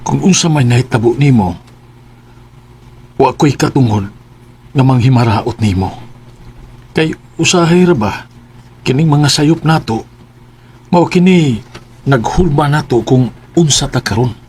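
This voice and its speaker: An actor playing a calm, forgiving middle-aged farmer father in a radio drama, with a Cebuano accent. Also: medium pitch, unhurried, long pauses, husky. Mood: accepting, gentle.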